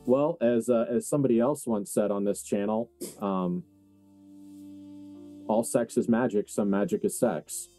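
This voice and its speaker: A man acting as game master, narrating a tabletop horror roleplaying game in deliberate, halting phrases. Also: fairly low pitch, dark tone, soft background music.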